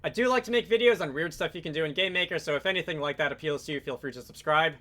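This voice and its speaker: A man with a bright, fairly high voice walks through a software tutorial in a casual way. He improvises as he works, thinking aloud and rewording himself as he goes. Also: very fast. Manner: hesitant, self-correcting, thinking aloud while working. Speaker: game development tutorial host.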